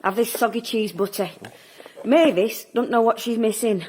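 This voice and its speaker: An actress playing a stubborn, sharp-tongued Yorkshire woman in an audio drama, with a blunt, salty way of talking. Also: fairly high pitch, moderate pace, a little hiss or hum, lightly acted, poor audio. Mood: quiet, wistful.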